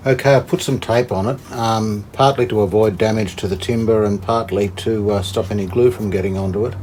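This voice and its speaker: Man, lowish pitch, casual restoration commentary, halting, tentative, thinking aloud, faint background noise. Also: fairly even intonation, a little mellow, poor audio. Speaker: host, hobbyist radio restorer. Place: indoors, hobby workshop.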